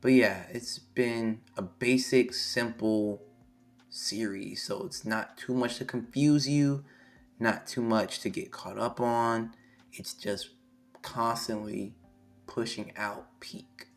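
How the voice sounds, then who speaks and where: A hoarse young man on a live stream, reading a manga chapter aloud and reacting excitably, swearing freely. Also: medium pitch, slow. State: hoarse, losing his voice. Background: faint music.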